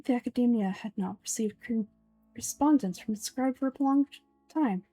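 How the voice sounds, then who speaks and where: A woman's voice: on the high side, dark tone, dry recording, soft background music, lightly acted. Woman voicing a pompous, menacing male sage. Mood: interrogating. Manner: formal, deliberate, condescending. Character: pompous, menacing old male grand sage, coldly calculating villain. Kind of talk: amateur voice-over of game dialogue.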